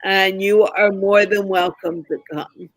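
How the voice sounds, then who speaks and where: A woman hosting an online group call, slowly guiding participants. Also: medium pitch, fairly even intonation, no echo, low-quality recording. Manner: slow, directing participants. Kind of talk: casual group video call.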